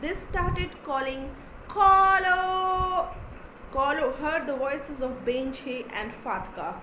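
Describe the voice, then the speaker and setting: A woman reading a folktale aloud for children with expressive, deliberate, dramatic delivery. She is from India. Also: sits high. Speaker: storyteller. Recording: echoey room with clear background noise.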